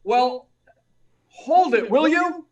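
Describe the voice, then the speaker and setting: A man plays an earnest young reporter pressing his case in an old radio play. His voice is high for a man and urgently insistent, heard a little away from the mic in an echoey room. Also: animated, very slow, far from the mic, highs cut off, heavily acted. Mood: eager, pressing. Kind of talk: 1940s radio drama acting.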